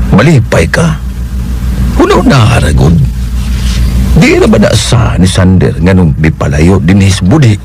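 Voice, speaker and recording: A stern middle-aged father in a Bisaya radio drama. His Cebuano-accented voice is very low and swings widely as he questions and admonishes, with faint noise behind. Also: darkish tone.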